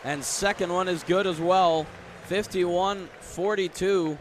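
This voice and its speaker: A man doing live basketball radio play-by-play as the announcer, with excited bursts. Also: high voice, takes it slowly, slight background noise. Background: basketball arena ambience, bouncing balls.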